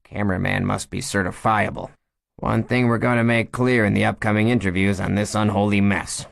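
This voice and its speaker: A man's voice: on the low side, fairly even intonation, brisk, vocal fry, no echo. A man voicing a composed but shaken woman official who talks in a plain, conversational way. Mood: wry.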